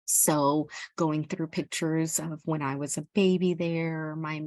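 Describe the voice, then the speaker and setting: A woman's voice: low voice, level tone, takes it slowly, a little mellow, no echo. A middle-aged woman from El Salvador giving an informal educational presentation as a parent, speaking in a hesitant, earnest way.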